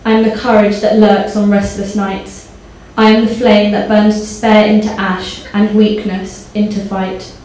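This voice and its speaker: A woman's voice: medium pitch, level tone, measured pace, hardly any pauses. A young woman giving a prepared speech and poetry recital on stage in a hall. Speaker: poet at own book launch. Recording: echoey hall, faint background noise.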